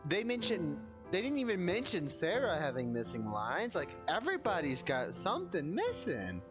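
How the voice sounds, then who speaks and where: A man's voice: high voice, wildly swooping pitch, moderate pace, music in the background. A man streaming a game, giving blunt, casual live reactions with plenty of swearing.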